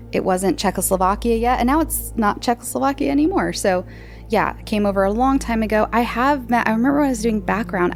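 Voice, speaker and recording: A woman's voice: mid-range voice, creaky. A woman hosting a podcast, answering listener questions in a casual, rambling chat full of fillers and restarts. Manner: chatty, meandering, many fillers and restarts.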